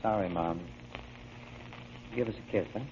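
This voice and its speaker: An actor as a remorseful drifter, muffled old radio. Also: male voice, on the low side, a little slow, a little mellow, a little hiss or hum, natural voice. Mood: apologetic, resolved. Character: feckless, remorseful grown son and brother, self-blaming, promising big. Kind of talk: 1940s radio drama acting.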